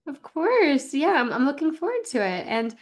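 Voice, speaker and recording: A woman's voice: sits high, sing-song, normal speed. A woman speaking as a podcast host, presenting and interviewing. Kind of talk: scripted intro and interviewing.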